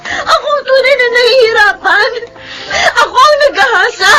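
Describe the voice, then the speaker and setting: A woman's voice: very high-pitched, normal speed. A woman playing a distraught, resentful teenage daughter in a radio melodrama, crying out her protests. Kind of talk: melodramatic radio drama acting. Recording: loud music over the voice.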